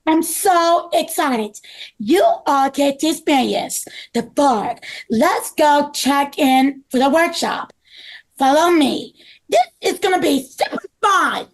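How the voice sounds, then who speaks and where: A woman's voice: high voice, lively intonation, slow pace, full character voice, low-quality recording. A woman playing a cheerful, organized group leader, upbeat and eager, in a scripted table read.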